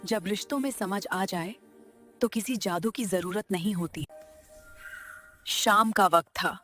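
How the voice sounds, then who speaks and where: Slow-paced woman narrating a story. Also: medium pitch, fairly bright tone, faint music behind.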